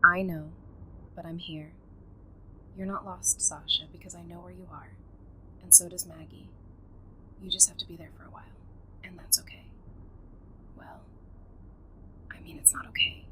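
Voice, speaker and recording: A woman acting a grief-worn but steadying survivor in an audio drama, speaking in a heavy, halting way. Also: on the low side, flat delivery, whispered, close to an everyday voice, low-quality recording. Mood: tender, comforting.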